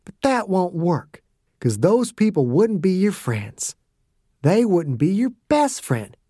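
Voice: mid-range voice, big pitch swings, slow, dark tone, breathy edge, dry recording, studio quality, pitched above the performer's own voice, heavily acted. A reader voicing a tough, older male fighter who goads his opponent with a sneer. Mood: taunting. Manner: sneering, goading. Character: taunting tough older man, ex-soldier type. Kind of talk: audiobook character voice.

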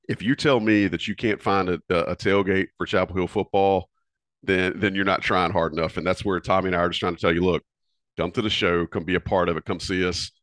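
Deep-voiced, fast-talking co-host. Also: male voice, a bit bright, no echo. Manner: fast, emphatic, teasing banter. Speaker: co-host of a college football pregame show. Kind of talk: casual promo chat.

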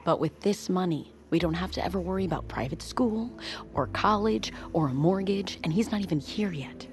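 A woman's voice: fairly low pitch, expressive, normal speed. An actress playing a determined, wry woman submersible pilot in a naturalistic audio drama, talking casually and frankly. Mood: tender, earnest.

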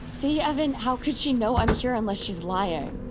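A woman's voice: fairly high pitch, expressive, normal speed, heavy background noise, natural voice. A woman playing a scornful, accusing teenage mean girl in an audio drama, snide and confrontational. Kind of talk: audio fiction drama acting.

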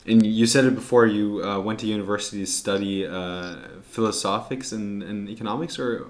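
A man's voice: on the low side, steady tone, brisk. A man interviewing a guest, asking questions with hesitations and fillers.

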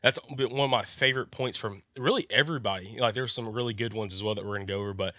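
Guy with a cold, kinda nasal, low-ish voice, rambles w lots of ums, dry mic. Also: very fast, pushed and bright, dry recording. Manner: rambling, many fillers and restarts. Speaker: podcast co-host. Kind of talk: casual podcast chat.

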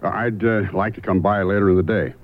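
A man's voice: fairly low pitch, brisk, noisy background, natural voice. A man acting a laconic, matter-of-fact private investigator telling his case in an old-time radio drama. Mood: guarded. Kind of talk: scripted first-person narration, old-time radio drama. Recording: muffled vintage radio, loud music behind.

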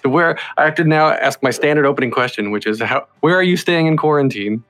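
A man's voice: on the high side, fast talker, fairly bright tone, faint music behind. A man hosting a podcast in a casual, chatty interview style with some banter. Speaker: podcast host.

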